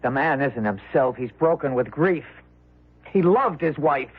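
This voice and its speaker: An actor playing an earnest younger husband with a sarcastic edge and a husky voice, in a radio drama. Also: male voice, mid-range voice, animated, a little slow, phone-quality sound. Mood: indignant. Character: protesting, sarcastic son-in-law, younger man. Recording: thin vintage radio recording.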